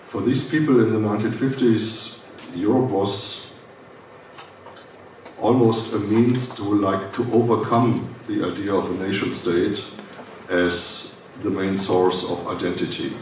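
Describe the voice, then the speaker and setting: German man speaking haltingly in an echoey hall. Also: lowish voice, little pitch movement, far from the mic. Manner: halting, many "uh" fillers and restarts. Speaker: foundation director giving a welcome speech. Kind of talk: formal welcome speech at a conference. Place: conference hall. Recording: echoey hall, speaker well off the mic, faint hiss.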